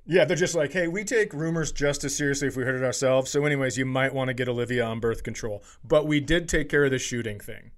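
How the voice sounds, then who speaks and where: A man's voice: mid-range voice, fast talker, hardly any pauses. A man with an American accent chatting casually and comedically as a podcast co-host, bantering and swearing freely. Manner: profane, bantering.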